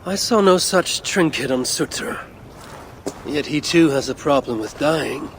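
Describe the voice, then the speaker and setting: An actor playing a proud, dryly witty Norse god warrior in a video game, speaking in a measured, commanding way. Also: male voice, on the high side, expressive, a little hiss or hum. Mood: menacing. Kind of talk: video game cutscene acting.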